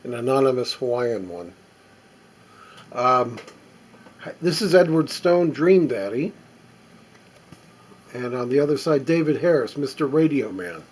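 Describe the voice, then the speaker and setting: A man with a husky, slightly breathy voice, reading record labels aloud as he sorts them. His delivery is halting and unhurried, full of little asides to himself. His pitch sits in an ordinary middle range. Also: lively intonation. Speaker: record collector. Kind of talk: casual running commentary while sorting records.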